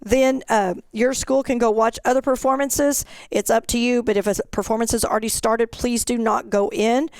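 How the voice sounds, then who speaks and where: A woman with a fairly high, smooth voice walks through practical instructions as an organizer. She is plain-spoken and informal, and the sound is close and dry. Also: fairly even intonation, normal speed, few pauses, a little mellow, no echo. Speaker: event organizer presenting an information session. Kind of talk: informal explanatory presentation.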